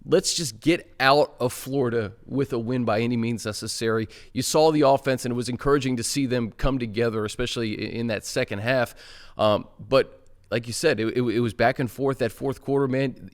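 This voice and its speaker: A man's voice: medium pitch, fairly quick. An American man with a mid-range voice chats about football as a show co-host. His delivery is casual and unscripted, like everyday conversation between colleagues.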